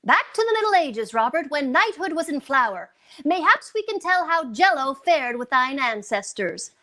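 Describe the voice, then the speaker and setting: An actress playing an arch, playful mock-medieval damsel in a 1940s radio comedy commercial. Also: female voice, sits high, big pitch swings, moderate pace, bright, forceful tone, full character voice.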